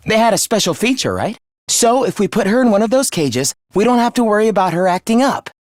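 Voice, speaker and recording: A man playing an earnest, caring teenage student leader in an anime-style video game, with a youthful, expressive voice and polite delivery. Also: high voice, dry recording, studio quality. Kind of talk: animated game voice lines, anime-style dub acting.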